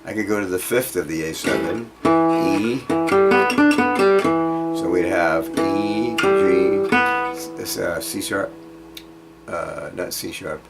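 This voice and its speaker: Low-voiced man teaching guitar. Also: slow, pauses often, poor audio. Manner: rambling, self-deprecating, thinking aloud. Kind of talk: informal music workshop lesson. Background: acoustic guitar being played.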